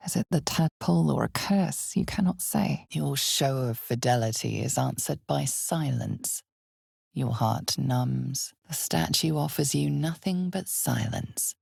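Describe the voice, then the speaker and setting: A dark fantasy game narrator speaks low and very slowly, ominous and knowing with a wry edge. Her voice is husky and gravelly, often close to a whisper, and every phrase is placed deliberately. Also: animated, dry recording, exaggerated voice. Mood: ominous, measured. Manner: slow, deliberate, dramatic storytelling.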